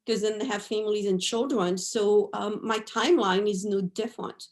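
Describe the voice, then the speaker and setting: A woman, speaking at a middling pitch as an interview guest. She talks in a thoughtful, unhurried way, looking back over her life and tagging her points with "right?" and "you know". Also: steady tone. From France. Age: 50s. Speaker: guest artist. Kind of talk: reflective interview talk.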